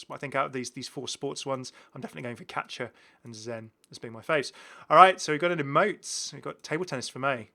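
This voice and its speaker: A man's voice: mid-range voice, creaky, pushed and bright. A man hosting a gaming video in a casual, chatty commentary, rambling and repeating his phrases. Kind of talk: casual commentary on game cosmetics.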